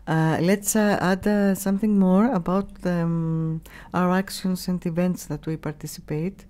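A middle-aged woman with a Greek accent, giving halting, unscripted interview answers as she searches for words. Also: fairly low pitch, steady tone, dark tone. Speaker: radio station staff member, interviewee. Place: small room, radio studio. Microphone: studio mic on boom arm.

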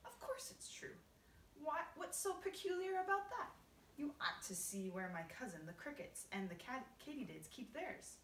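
A woman performs a genial old grasshopper gentleman in a storybook. His pitch swings expressively as he explains things with courteous, old-fashioned pride and gentle teasing. The recording is roomy and a little distant. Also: animated, moderate pace, hardly any pauses, slight background noise. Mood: proudly delighted.

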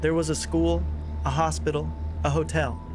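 Higher-pitched man hosting a documentary. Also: little pitch movement, normal speed, noisy background. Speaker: documentary host. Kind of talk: documentary narration and casual on-location interviewing.

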